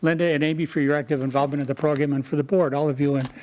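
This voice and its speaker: Higher-pitched man presenting to a meeting. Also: fairly even intonation, racing, a little mellow, rough recording. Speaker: community volunteer presenter, Rotary club member. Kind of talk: prepared public presentation at a town meeting. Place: town board meeting room.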